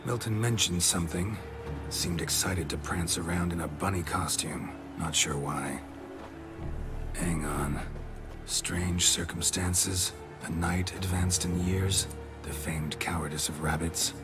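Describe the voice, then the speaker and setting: An actor playing a stoic, world-weary monster hunter in a video game, dry and deadpan, in clipped sentences. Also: male voice, low-pitched, unhurried. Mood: intent, terse. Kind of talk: video game cutscene acting. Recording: music behind the voice.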